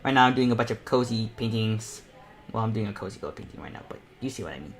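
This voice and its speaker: A man's voice: fairly low pitch, lively intonation, fast, gravelly. Young man casually thinking aloud. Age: teens to young adult. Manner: rambling, thinking aloud while working. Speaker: livestream host and self-taught painter. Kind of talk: casual painting tutorial livestream.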